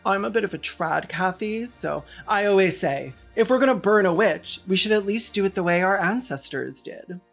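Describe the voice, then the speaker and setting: Female commentary host, medium pitch, sardonic and theatrical scripted read over faint music. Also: lively intonation, moderate pace, gravelly, hardly any pauses. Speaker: video essayist, host.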